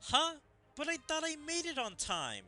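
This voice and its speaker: A man's voice: very high-pitched, wildly swooping pitch, normal speed, bright, forceful tone, faint music behind, pitched above the performer's own voice, full character voice. A man voicing an indignant, flustered schoolgirl from a comedy manga, exclaiming as he reads.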